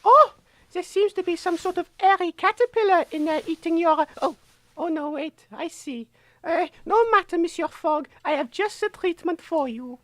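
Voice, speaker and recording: A woman's voice: extremely high pitch, normal speed, dry recording. A woman playing a cheerfully menacing quack lady doctor with French phrases in a theatrical comedy sketch. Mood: smugly sinister. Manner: theatrical, politely sinister. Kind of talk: audio sketch comedy acting.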